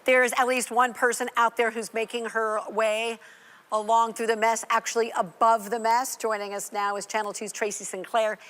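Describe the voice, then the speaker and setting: A woman's voice: fairly high pitch, flat delivery, normal speed, a bit bright. A woman with an American accent anchoring a live television news broadcast, handing off to reporters.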